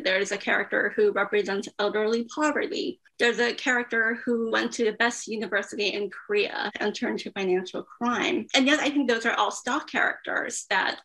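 A woman's voice: a little high, fairly bright tone, low-quality recording. A middle-aged woman giving explanatory expert commentary in an interview. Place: small indoor room lined with bookshelves.

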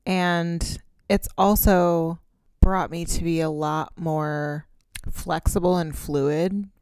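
A woman chats casually as a podcast co-host, candid and rambling, swearing now and then. Her voice sits a little low. She is close on the mic in a dry, dead-sounding space. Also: steady tone, takes it slowly, a little mellow, clean tone, dry recording. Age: 30s. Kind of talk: casual chat.

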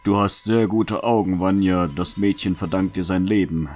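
A man playing a gallant, courteous leader in a fantasy audio drama, formal and composed with quiet authority. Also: low voice, fairly even intonation, moderate pace, faint music behind. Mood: calm, gallant.

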